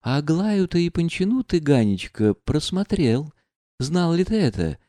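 A man's voice: a little high, very animated, unhurried, warm tone, no echo, clean studio sound, voice pushed up. A man reading aloud and voicing a proud, reckless young woman who speaks in a bitter, mocking, defiant way.

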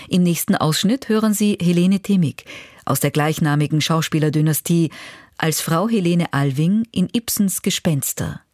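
A radio presenter reading a scripted introduction in a measured, formal way. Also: mid-range voice, a little mellow, dry recording, clean studio sound. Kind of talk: scripted narration.

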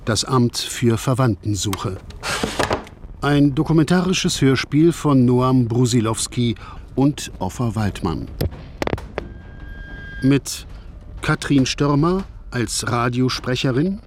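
A man reading a scripted announcement in a measured, formal radio style. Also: medium pitch, animated, noisy background.

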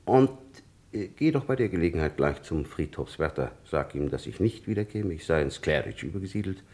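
A man's voice: low voice, animated, brisk, darkish tone. An actor playing an older businessman father back as a ghost, clipped and matter-of-fact, in a vintage radio crime play. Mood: terse, weary. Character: dead businessman father returned as a terse ghost. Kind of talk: vintage German radio crime drama acting.

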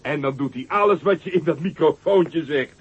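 An actor playing a boastful, childish young giant in a radio play, who giggles, stammers and whines with a raspy voice. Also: male voice, fairly high pitch, normal speed, lo-fi sound, close to an everyday voice. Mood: gleeful boasting. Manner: giggling, proud and whiny, stammering. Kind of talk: radio drama acting.

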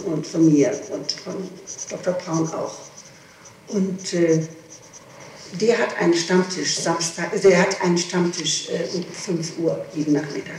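A woman answering questions in an interrogation, haltingly, often repeating and breaking off her phrases, on an old tape recording. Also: fairly low pitch, a little mellow. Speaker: person being questioned by police. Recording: distant, echoey, noisy 1960s tape recording.

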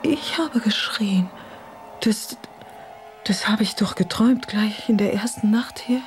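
An actress as a wounded, distrustful woman in a radio play. Her mid-pitched voice is intense and halting, set in a clearly noisy ambience. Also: lightly acted. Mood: shaken, halting. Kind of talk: naturalistic German radio drama acting.

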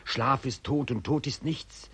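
An actor playing an optimistic inner companion in a radio drama, coaxing and urging with insistent persuasion. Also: male voice, medium pitch, measured pace, fairly bright tone, breathy edge. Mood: urging, encouraging. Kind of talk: German radio drama acting.

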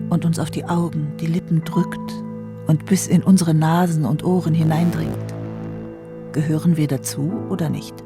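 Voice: medium pitch, gravelly. A performer voicing a brooding, troubled narrator of a later generation, in an intense, incantatory literary monologue with insistent repetitions. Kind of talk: literary monologue in a documentary radio drama. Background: music.

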